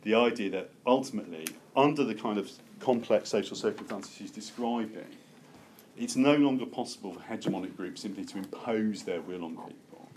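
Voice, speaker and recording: Lowish voice guy lecturing a seminar, keeps restarting n thinking out loud, bit of background noise. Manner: halting, self-correcting, thinking aloud. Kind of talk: academic lecture with open discussion. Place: seminar room with a group. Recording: noticeable background room noise.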